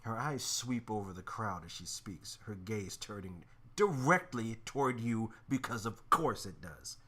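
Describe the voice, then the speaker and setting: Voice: mid-range voice, a little slow, breathy edge. A reader narrating a story game aloud with a friend, full of jokes and stammered asides. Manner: animated, joking, stammering asides.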